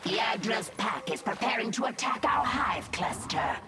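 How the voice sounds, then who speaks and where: A woman's voice: fairly high pitch, sing-song, a little slow. A woman playing a stern, vigilant alien swarm officer in a video game, giving clipped orders and reports. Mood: stern, urgent. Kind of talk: video game mission dialogue. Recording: loud music behind the voice.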